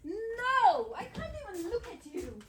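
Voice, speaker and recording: An actress playing an impulsive, emotional young newlywed wife, talking in a heated, emphatic way. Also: female voice, very high voice, very animated, normal speed. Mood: furious. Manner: heated, emphatic, swings to hushed. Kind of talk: stage play acting. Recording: echoey room, distant mic, noise.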